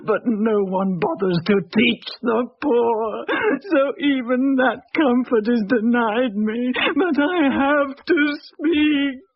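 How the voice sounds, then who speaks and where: An actress playing a despairing young widow in a radio drama, plaintive and halting. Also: female voice, on the high side, crowd noise. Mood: weak, plaintive. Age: young adult. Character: possessed woman voicing a starving, despairing young English widow. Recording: dull, lo-fi old radio recording.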